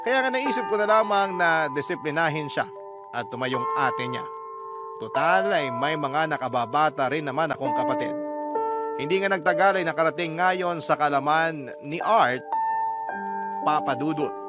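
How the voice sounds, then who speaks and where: A man's voice: fairly high pitch, animated, moderate pace. A man with a Filipino accent narrating a scripted first-person story as a radio storyteller. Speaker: radio storyteller reading a listener's letter. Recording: loud music under the narration.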